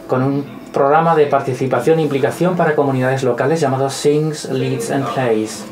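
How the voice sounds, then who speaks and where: Measured male interpreter with audible crowd behind. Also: medium pitch, fairly even intonation, low-quality recording.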